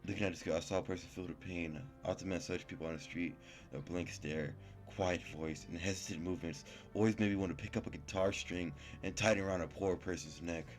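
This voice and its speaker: Low-pitched man narrating a visual novel. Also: steady tone, fast talker, soft background music. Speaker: gaming YouTuber.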